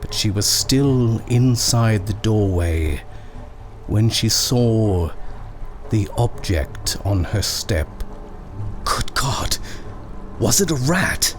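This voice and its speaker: A man reading a horror short story as dramatic, suspenseful narration, measured and full of pauses. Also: fairly low pitch, animated, soft background music.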